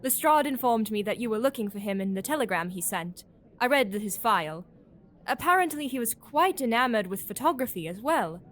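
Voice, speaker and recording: A woman's voice: on the high side, expressive, brisk, fairly bright tone. A woman playing an eager, gossipy young doctor in an audio drama, chatty and proper. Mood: confiding. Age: young adult.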